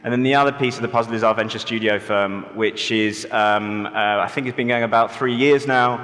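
A man speaking as a conference panelist, relaxed and rambling, full of ums and sort-ofs as he tells his agency's history. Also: mid-range voice, fairly quick. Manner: relaxed, rambling with many fillers. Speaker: panelist, agency managing director. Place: conference hall stage. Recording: hall reverb.

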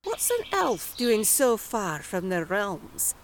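A woman's voice: medium pitch, very animated, slow, a little hiss or hum, poor audio. A woman playing a bold traveller in a fantasy audio drama. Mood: curious.

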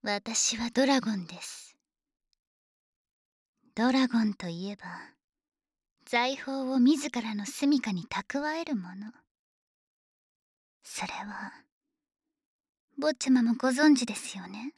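A woman's voice: fairly high pitch, no room sound, exaggerated voice. A woman playing an obsessive, possessive female dragon maid in an ASMR voice drama, speaking in polite servant phrases with a whispery, doting, menacing delivery, close to the microphone. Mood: gloating, doting. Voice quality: breathy, whispery. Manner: slow, honorific servant speech, softly teasing. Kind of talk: Japanese ASMR situation voice drama. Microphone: close microphone, dry studio recording.

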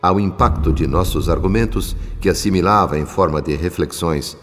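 Radio soap narrator: actor as grave benevolent Brazilian male spirit, low-voiced, solemn, deliberate, long reverb. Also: close to an everyday voice. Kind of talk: scripted dramatic narration in a radio soap opera. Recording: long reverb, music underneath.

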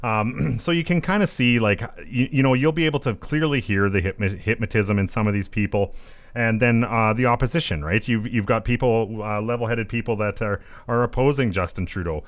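A man hosting a commentary show, talking casually with many pauses, fillers and throat clearing. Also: on the low side. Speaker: show host.

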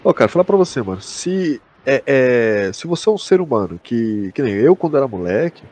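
A man's voice: medium pitch, sing-song, brisk, a little mellow. A young man with a Brazilian accent co-hosting a podcast in a casual, slangy and sweary way. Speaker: podcast co-host. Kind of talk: casual chat about a sci-fi audio drama.

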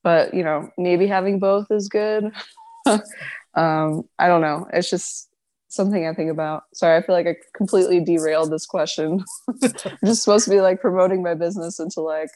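Giggly woman musing in a low voice. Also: steady tone, moderate pace, no echo. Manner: giggly, thinking out loud, hedging. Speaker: PR firm owner, guest. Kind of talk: casual interview chat.

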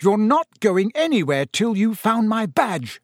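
A man's voice: sits high, very animated, unhurried, dry recording, studio quality, voice pushed up, full character voice. A man voicing a pompous, dignified teenage older brother with a lofty, long-suffering manner. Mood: outraged.

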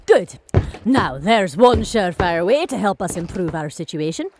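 A woman's voice: on the low side, animated, noisy background, lightly acted. A woman voicing a bossy, cheerful ship captain who rallies her downcast crew. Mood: commanding. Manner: brisk, commanding, reassuring.

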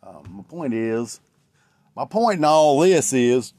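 A man's voice: mid-range voice, expressive, slow, a little mellow, no room sound. A man in his late forties hosting his own podcast, talking alone in a rambling, reflective way with many fillers and restarts. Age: 40s. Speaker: podcast host. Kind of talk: casual solo podcast monologue.

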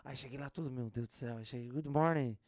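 Low, fast-talking Brazilian woman. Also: darkish tone, dry recording, clean studio sound. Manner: joking, slangy. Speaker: podcast host. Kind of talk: casual podcast chat.

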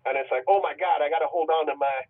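A man's voice: fairly high pitch, steady tone, racing. A man from Jamaica, an independent film director, telling rambling jokey stories in a casual interview. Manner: rambling, joking storyteller. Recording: tinny distant phone line.

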